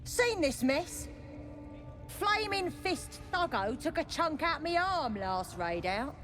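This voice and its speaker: A coarse female goblin raider from a fantasy game, high in pitch and unhurried. She brags in slangy speech with a rough swagger, over faint music. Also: big pitch swings, a bit bright, full character voice. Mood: boastful. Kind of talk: video game character acting.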